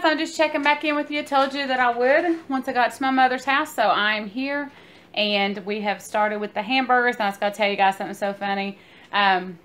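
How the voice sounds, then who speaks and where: A woman's voice: medium pitch, a bit bright. A woman, chatting casually to viewers like a vlogger, recorded on a phone. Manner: chatty, informal, addresses viewers as guys. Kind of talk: casual vlog chat. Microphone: phone at arm's length. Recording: noisy amateur phone video.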